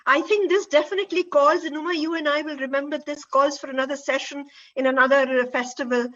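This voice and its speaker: Halting, high-voiced Indian woman moderating. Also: steady tone, fairly bright tone, muffled recording. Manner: halting, repeats words. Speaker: panel moderator. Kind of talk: unscripted panel moderation.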